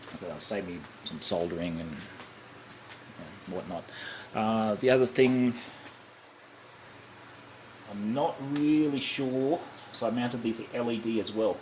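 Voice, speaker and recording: A man giving a casual, unscripted hands-on demonstration, halting and thinking aloud as he goes, often correcting himself. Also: fairly low pitch. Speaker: hobbyist demonstrating a kit build. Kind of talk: casual unscripted how-to narration. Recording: clearly noisy amateur home recording.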